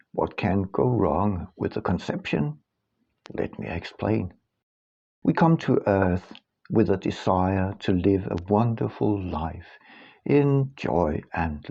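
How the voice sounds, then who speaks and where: Slow, creaky-voiced man narrating an audiobook. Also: lowish voice, dark tone, lo-fi sound. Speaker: author reading their own book.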